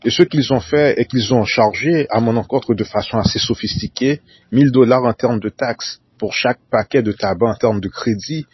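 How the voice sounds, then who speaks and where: A man's voice: mid-range voice, moderate pace, breathy edge, no room sound, lo-fi sound. A man delivering a formal solo monologue, repeating whole sentences and spelling out words letter by letter. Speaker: conspiracy talk narrator.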